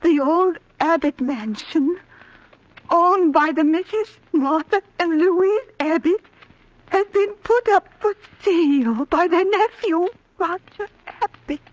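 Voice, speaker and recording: Timid old aunt, very high voice. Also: female voice, slow pace, no echo, rough recording. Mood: anxious. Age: older. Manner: tremulous, hesitant, fretful. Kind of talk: old-time radio drama acting.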